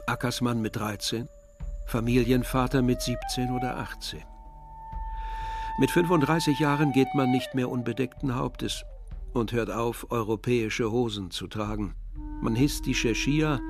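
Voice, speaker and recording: An actor as a reflective narrator, giving measured literary narration in a radio drama. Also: male voice, mid-range voice. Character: reflective male narrator recalling village and brother. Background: music.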